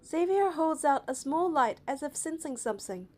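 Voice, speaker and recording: High-voiced woman reading a game. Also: lively intonation, moderate pace. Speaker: narrator of a game playthrough. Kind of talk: reading game text aloud.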